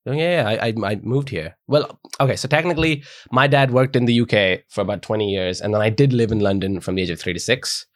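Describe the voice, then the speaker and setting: Young man, fast animated storyteller, deep-ish, dry close mic. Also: no room sound. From India. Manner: animated storyteller, swears often, acts out quotes. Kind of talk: casual chat among friends.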